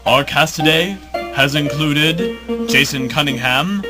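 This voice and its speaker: A man's voice: a little high, slow, music in the background. A man playing a grandiose radio drama host, narrating a superhero tale in a pompous, theatrical manner. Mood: stately, genial.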